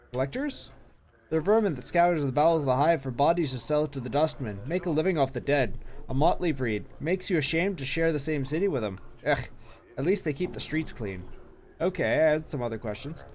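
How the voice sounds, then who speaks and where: A man streaming a game, reading its dialogue aloud with casual asides, his voice a little hoarse from long talking. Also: on the high side, lively intonation, normal speed, darkish tone, a little hiss or hum. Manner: reads long text passages aloud with brief asides.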